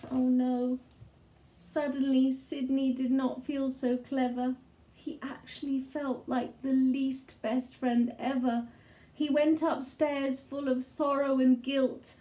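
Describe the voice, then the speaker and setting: A woman reading a children's story aloud in an expressive style, with measured, dramatic phrasing and pauses. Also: high voice. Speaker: storybook reader.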